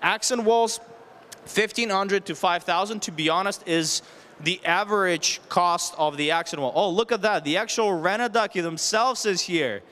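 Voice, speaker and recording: Guy in his 30s giving a talk on a mic, pretty high voice, smooth and clear, explains stuff with lots of questions. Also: moderate pace, a bit bright. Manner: explanatory, poses rhetorical questions and lists options. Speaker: renovation contractor giving a presentation. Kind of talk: public presentation with practical tips. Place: stage at an indoor home show. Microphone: handheld microphone held near the chin.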